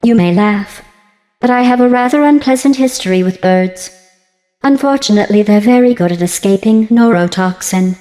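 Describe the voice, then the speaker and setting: A woman voicing a passive-aggressive female artificial intelligence in a video game, deadpan and mock-sweet, with synthetic robotic processing. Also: mid-range voice, moderate pace, in a large hall, soft background music. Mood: condescending. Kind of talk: scripted video game dialogue.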